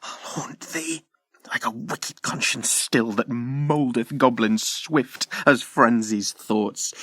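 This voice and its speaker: An actor playing a bitter, vengeful young prince with impassioned declamation in a Shakespearean audio drama. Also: male voice, medium pitch, a bit bright, slightly rough, dry recording, clean studio sound, full character voice.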